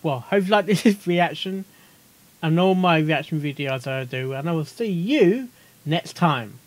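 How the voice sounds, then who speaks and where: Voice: mid-range voice, lively intonation, a little slow. A reaction video host giving casual commentary, rambling, giggly and often repeating phrases.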